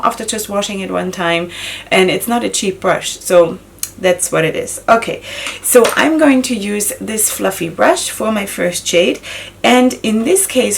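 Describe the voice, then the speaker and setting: A raspy woman in her thirties, hoarse from a summer cold, talks through her makeup routine in a chatty, self-questioning way. Her voice sits at a middling pitch with a gravelly edge. She speaks close to the camera in a small indoor room. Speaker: beauty vlogger. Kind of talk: casual makeup tutorial talk.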